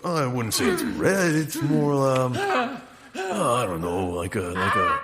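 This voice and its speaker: A man's voice: mid-range voice, wildly swooping pitch, slow pace, a loud crowd, exaggerated voice. A man playing a bashful, awkward henchman who rambles hesitantly in a comic audio drama. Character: bashful, lovelorn male gang henchman, casually gruesome.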